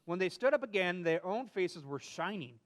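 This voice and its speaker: A man's voice: high voice, big pitch swings, moderate pace. A man reading a children's story aloud expressively as a co-host, with playful asides.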